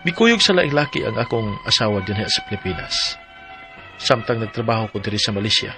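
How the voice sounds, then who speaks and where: A man's voice: lowish voice, expressive, a little fast, bright, forceful tone. An actor with a Cebuano accent playing a troubled middle-aged husband and father working abroad, reading a plaintive letter for a radio drama. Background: background music.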